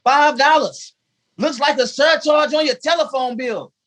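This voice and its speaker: A man's voice: very high voice, unhurried, fairly bright tone, slight background noise. A man playing a loyal but cheeky household valet in 1950s radio comedy style, with dry, sassy punchlines. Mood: wry.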